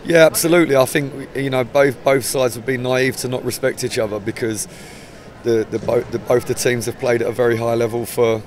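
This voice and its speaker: English man in his 40s, football manager, level and even delivery, talks slowly with restarts, outdoor pitchside, handheld mic, faint traffic hum behind. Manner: measured, hesitant, many restarts. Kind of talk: post-match interview. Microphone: handheld mic held up close.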